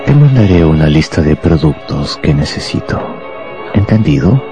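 An actor playing a contemptuous, power-hungry anarchist professor in a radio drama, with a grandiose, deliberate delivery. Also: male voice, extremely low pitch, big pitch swings. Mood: cold command. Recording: music behind the voice.

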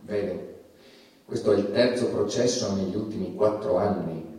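A man playing a stern, formal judge in a theatrical courtroom drama, speaking deliberately and admonishingly. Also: fairly low pitch, mellow, lightly acted. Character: stern, formal male judge presiding over obscenity trial. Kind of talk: theatrical courtroom stage acting in Italian. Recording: echoey theatre hall, distant microphone.

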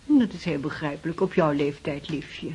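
Husky, low-voiced motherly countess. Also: moderate pace. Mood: tender. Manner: gently admonishing, motherly. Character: loving, practical countess, mother of a lovestruck daughter. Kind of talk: radio drama acting.

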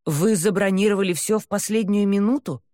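A woman's voice: medium pitch, brisk, warm tone, dry recording, clean studio sound, natural voice. A woman voicing a staid, easily deflated boyfriend, puzzled and hesitant, in an audiobook. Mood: disappointed. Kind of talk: audiobook character dialogue.

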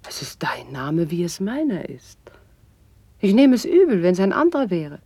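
A woman's voice: fairly low pitch, sing-song, moderate pace, dark tone, slightly rough, no room sound, exaggerated voice. An actress playing a graceful, witty noblewoman and confidante, declaiming formal verse lyrically in a classical radio drama. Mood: animated, frank.

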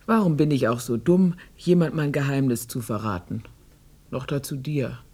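Husky, mellow-dark old country woman. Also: very deep voice, normal speed. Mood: dry, grumbling. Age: older. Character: stubborn, eccentric old country woman living alone. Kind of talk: radio drama acting.

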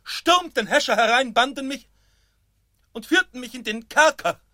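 A man acting a gentle, frightened but steadfast captive preacher in a literary radio play, speaking solemnly and haltingly with a pleading manner. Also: very high voice, animated, no echo, clean studio sound, exaggerated voice. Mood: solemn, earnest.

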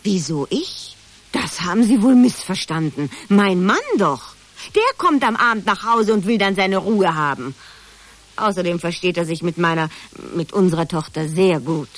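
A woman's voice: fairly low pitch, normal speed, close to an everyday voice. An actress playing an indignant middle-aged housewife and mother in a satirical radio drama, huffy and complaining. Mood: defensive.

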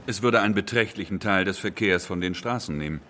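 A man's voice: low-pitched, fast, pushed and bright. A man playing a polite, persistent 19th-century engineer, speaking formally in an audio docudrama. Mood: earnest persuasion.

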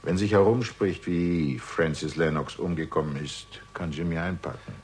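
A man's voice: low-pitched, moderate pace, a little mellow. A man playing a wry, guarded realist in a German radio crime drama, with an ornate, ironic, emphatic way of talking. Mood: guarded, firm.

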